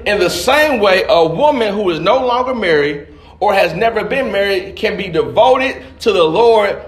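A man's voice: high-pitched, expressive, moderate pace. A man preaching a sermon as a pastor, colloquial and emphatic, repeating phrases and catchphrases to drive points home. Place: indoor church before a responding congregation. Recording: roomy live church echo.

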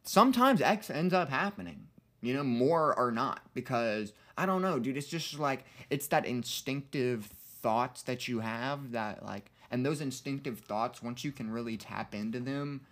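A man's voice: medium pitch, a little slow, few pauses. A man in his early twenties talking casually and reflectively on a podcast, earnest and rambling, with plenty of slang. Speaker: podcast co-host.

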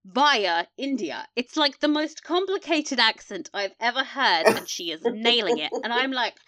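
A woman's voice: fairly high pitch, lively intonation, measured pace, pushed and bright. A woman co-hosting a casual, chatty recap discussion, gushing and playful in slangy speech. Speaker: podcast co-host.

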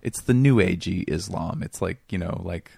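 Low gravelly comedian guy, kinda raspy, rambles and hunts for words, dry sound. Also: normal speed, darkish tone, dry recording. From Seattle area, USA. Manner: rambling, self-deprecating, searches for words. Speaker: comedian guest. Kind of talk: casual interview chat.

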